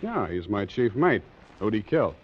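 Wry hard-boiled sea captain on old radio. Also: male voice, lowish voice, very animated, normal speed, slightly rough. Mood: taken aback. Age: 20s. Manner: clipped, wry, sardonic. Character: tough wisecracking young sea captain, hard-boiled narrator. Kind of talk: 1940s radio acting, hard-boiled narration. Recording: muffled 1940s radio recording with music.

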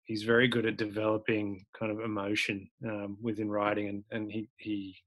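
An Australian man with a deep, narrow-ranged voice, a band member answering interview questions casually, with lots of fillers and restarts. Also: completely flat delivery, normal speed, a bit bright. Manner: hesitant, many restarts and fillers.

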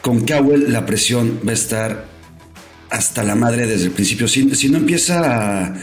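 A man's voice: medium pitch, animated, a little slow, faint music behind. A Mexican man with a mid-range voice bantering brashly about football, full of slang and swearing. The sound is echoey and roomy, like casual podcast talk among friends. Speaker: sports podcast panelist. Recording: roomy echo.

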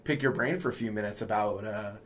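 A man's voice: on the low side, quick pace. A man hosting a podcast as the editor, talking casually and hesitantly with many fillers.

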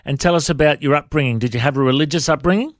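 A man's voice: fairly high pitch, racing, no room sound. Male radio host, dry sound. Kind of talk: casual radio interview.

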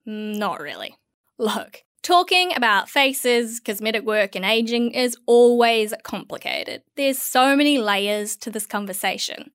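A young Australian woman narrates an opinion article in a fairly high voice. The recording is dry and close, with no room sound. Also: lively intonation, unhurried, fairly bright tone, smooth, no room sound. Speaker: writer reading own article.

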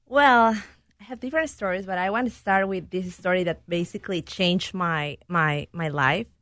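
A woman's voice: on the low side, normal speed, a bit bright, dry recording, studio quality. A woman from Peru, a talk-show guest telling her story earnestly and sometimes searching for words in English. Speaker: guest, program director. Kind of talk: casual radio talk-show conversation.

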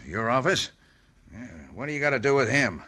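A man's voice: medium pitch, lively intonation, fairly quick, sharp tone. A man playing a shifty, bossy outlaw gang leader with an American accent in an old-time radio western, drawling and menacing. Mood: uneasy.

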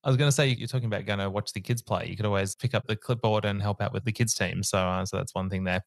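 A man's voice: on the low side, steady tone, fast talker, dry recording. A man hosting an interview, friendly and asking follow-up questions. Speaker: interview host. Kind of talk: conversational interview.

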